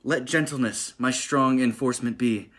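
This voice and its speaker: A hungry, noble-hearted young gentleman acted by a man with a medium-pitched voice. He speaks Shakespearean lines with earnest, theatrical urgency, as in a radio play. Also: fairly even intonation, normal speed, breathy edge. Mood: abashed, pleading.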